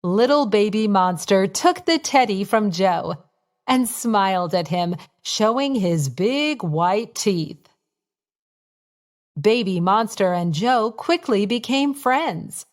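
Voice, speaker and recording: Slow-paced woman narrating children's bedtime stories. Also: medium pitch. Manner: expressive, simple storytelling for young children. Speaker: children's storyteller. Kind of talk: scripted bedtime story narration.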